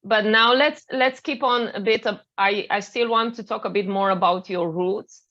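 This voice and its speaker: A Romanian woman hosts a live interview over a video call, speaking at a middling pitch. She talks hesitantly and restarts her phrases often. The audio sounds a bit dull and lo-fi. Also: moderate pace, bright, forceful tone. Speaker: interview host.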